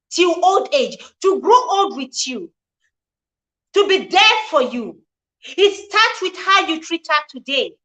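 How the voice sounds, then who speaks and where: Forties woman live-streaming, high voice, earnest and preachy, repeats herself a lot. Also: a bit bright, rough recording. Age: 40s. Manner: earnest, repetitive, preachy cadence, greets viewers by name. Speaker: relationship show host. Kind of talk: live-stream talk to viewers.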